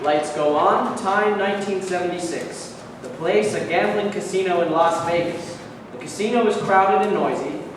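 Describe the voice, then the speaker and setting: A highish-voiced man reads stage directions as scripted narration. He is far from the mic in a very echoey hall. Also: moderate pace. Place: live staged reading in a reverberant room. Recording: very echoey hall, distant mic, clear noise.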